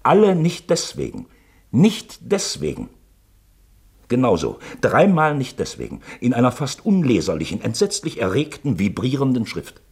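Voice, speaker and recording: An actor playing a shrewd, probing man in a German radio play, speaking with deliberate, insistent drama. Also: mid-range voice, wildly swooping pitch, vocal fry, a little mellow, husky, exaggerated voice. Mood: intense, insistent. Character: shrewd, probing man quoting a suspect manuscript, insinuating.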